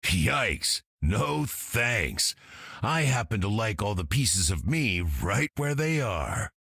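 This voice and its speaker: An actor voicing a wry vigilante hero for a video game, drawling and sardonic. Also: male voice, fairly low pitch, big pitch swings, slow, gravelly, a bit bright, husky, no room sound, clean studio sound, full character voice.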